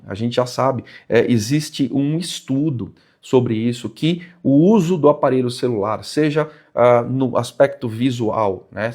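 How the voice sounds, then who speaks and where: A man with a Brazilian accent and a medium-pitched voice speaks as a vlog presenter. He explains point by point in a conversational, didactic way, leaning on key words for emphasis. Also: darkish tone. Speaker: presenter of a motorcycle channel. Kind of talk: explanatory vlog talk to viewers.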